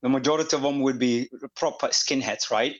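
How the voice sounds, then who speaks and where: A man's voice: mid-range voice, brisk. A man from Somalia, with Somali as his first language, speaking as an interview guest in a hesitant way full of fillers and restarts. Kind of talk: conversational interview. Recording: dull lo-fi audio.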